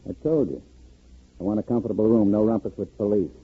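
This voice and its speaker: A man's voice: fairly low pitch, fast talker, breathy edge. A man playing a smug, menacing gangster in a 1950s radio drama, mock-polite, sardonic and commanding. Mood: smug, threatening. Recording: muffled vintage 1950s radio recording.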